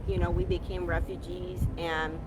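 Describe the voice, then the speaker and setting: A woman from Thailand telling her personal story on a livestream, soft and hesitant with frequent pauses. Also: lowish voice, level tone. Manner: soft, hesitant, many ums. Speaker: guest, co-host. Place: outdoors by a hotel on a busy street. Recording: loud outdoor traffic noise.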